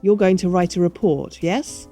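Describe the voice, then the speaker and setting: Mellow-voiced, officious care manager, played by a woman. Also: lowish voice, normal speed, soft background music. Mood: defensive. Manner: businesslike, defensive. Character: defensive, officious retirement community manager. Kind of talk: scripted audio drama.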